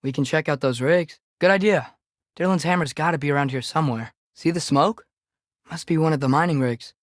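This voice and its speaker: A man's voice: on the high side, fairly quick, no echo, studio quality, lightly acted. A young male actor with an American accent voicing an earnest, curious teenage boy adventurer in a video game, speaking in a casual, questioning way. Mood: inquisitive, matter-of-fact. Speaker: video game companion character. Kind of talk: scripted game dialogue, conversational.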